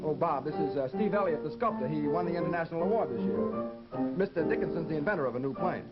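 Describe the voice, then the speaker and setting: A brisk, skeptical best friend in an old radio drama, played by a man. He talks fast in a slightly high voice, hearty and sociable. Loud music runs behind him. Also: expressive, dull audio, close to an everyday voice. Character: chatty, skeptical male friend, sociable and exasperated.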